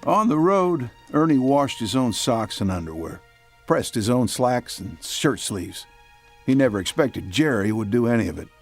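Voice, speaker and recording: A man narrating a radio show in a measured, folksy way. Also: medium pitch, very animated, soft background music. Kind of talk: scripted narration.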